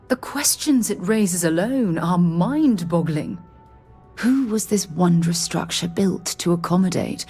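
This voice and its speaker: An excitable scientist and explorer in a video game. Her voice sits slightly low. She speaks formally and articulately, earnest and a touch flustered. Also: husky, exaggerated voice. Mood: awed, exhilarated. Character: earnest, excitable female space-explorer scientist, quietly affectionate.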